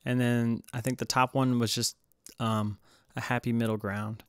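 A man's voice: mid-range voice, flat delivery, normal speed, smooth, no echo, studio quality. A man giving a casual, hesitant tutorial voice-over as he explains music production. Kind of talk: casual screen-recorded tutorial narration.

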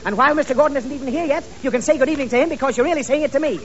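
A man's voice: very high-pitched, racing. A man playing a flustered, exasperated father in a 1930s radio comedy, fussy and stammering, anxious to please. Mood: fussy, instructing. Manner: fussy, stammering, easily irritated. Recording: dull, noisy 1930s radio recording.

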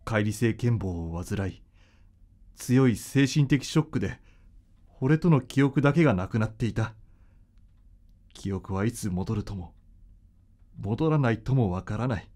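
A man's voice: on the low side, lively intonation, unhurried, pauses often, mellow. A man playing a devoted, intensely emotional, anguished young man in a Japanese radio drama. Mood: somber.

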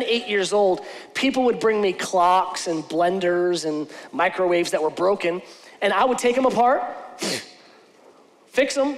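A man in his forties, a pastor giving a conversational, earnest sermon from a church stage, often restarting his sentences. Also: sits high. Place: large church stage with lighting. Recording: church hall reverb.

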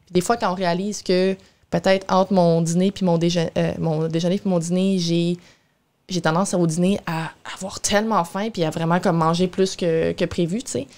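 Low-pitched young Québécoise explaining informally. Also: female voice, steady tone, normal speed, a little mellow. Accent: Québécois French. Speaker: guest nutritionist. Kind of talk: casual explanatory interview talk. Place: small radio studio, indoors. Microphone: boom-arm studio microphone with headphones.

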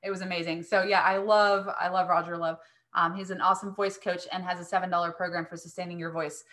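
A woman's voice: on the low side, little pitch movement, fast, fairly bright tone. A woman in her thirties, a business coach casually answering questions as host of an online webinar.

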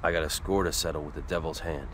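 Low-voiced tough American biker. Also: male voice, fairly even intonation, quick pace, a bit bright, a little hiss or hum. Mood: firm, earnest. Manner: terse, cool, self-assured. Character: tough, cocky, laconic male biker out for revenge. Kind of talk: video game cutscene acting.

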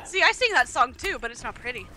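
A woman with a hoarse, sick voice, a guest on a game stream, blunt and teasing in casual banter. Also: high voice, sing-song, fast, sharp tone, low-quality recording. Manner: blunt, teasing, swears freely.

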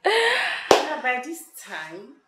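A woman's voice: high voice, huge pitch swings, drawn-out words, very noisy, heavily acted. An actress playing a subdued, wary young woman working as a house helper in a film drama. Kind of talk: low-budget film drama acting. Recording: echoey room, loud music over dialogue.

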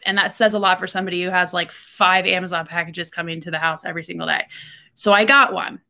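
A young woman from Texas chats straight into her webcam from a room at home. Her voice is bright and forward, and she talks candidly with quick joking asides, like a golf podcast co-host running through the week's news. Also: medium pitch. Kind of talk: casual solo talk to camera.

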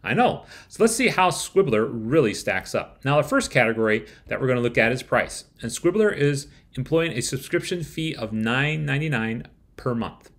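A man's voice: medium pitch, expressive, moderate pace, fairly bright tone. A man in his forties presenting a scripted review to camera, with wry rhetorical asides. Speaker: software reviewer. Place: small room at home, indoors.

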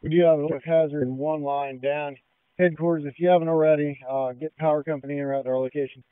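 A man's voice: fairly high pitch, steady tone, fast, clean tone, no room sound. A man speaking as a fire officer over two-way radio in terse, procedural emergency phrasing. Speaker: fire officer on incident command. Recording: tinny narrow-band radio transmission.

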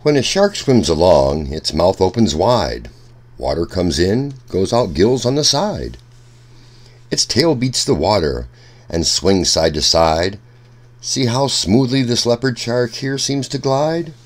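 A man reading a rhyming children's book aloud in a deliberate, sing-song storytelling manner. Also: fairly low pitch, very animated.

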